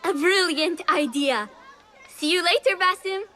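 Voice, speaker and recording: A woman's voice: high voice, big pitch swings, normal speed, a bit bright, a faint crowd. A woman playing a spirited, mischievous young street girl in a video game. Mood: delighted. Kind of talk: dramatic video game acting.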